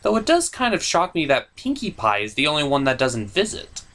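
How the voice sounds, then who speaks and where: A man with a fairly high voice delivers a scripted comedic review. His pitch moves around a lot, with theatrical emphasis and a mock-incredulous edge. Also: huge pitch swings, brisk, fairly bright tone.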